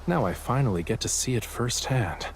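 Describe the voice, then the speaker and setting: A man acting the part of a devoted, gentle young painter in a romantic audio drama, speaking tenderly and earnestly. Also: medium pitch, animated, normal speed, close to an everyday voice. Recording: loud music bed under the voice. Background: loud music.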